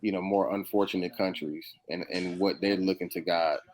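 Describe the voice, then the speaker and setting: An American man in a casual podcast discussion, speaking haltingly and repeating words as he works toward his point. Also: deep voice, fairly even intonation, poor audio. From United States. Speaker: podcast co-host.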